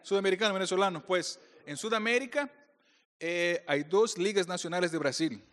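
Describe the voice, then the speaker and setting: A man's voice: high voice, normal speed, a bit bright. A middle-aged man from Brazil, a native Portuguese speaker with a Brazilian accent, giving a playful conference talk as a speaker for a church group. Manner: joking, playful with the audience. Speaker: pastor speaker. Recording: long hall reverb.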